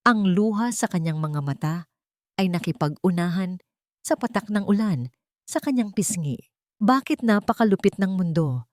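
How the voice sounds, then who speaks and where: A woman's voice: fairly low pitch, darkish tone, no echo, studio quality. A woman reading a dramatic love story as a scripted narration.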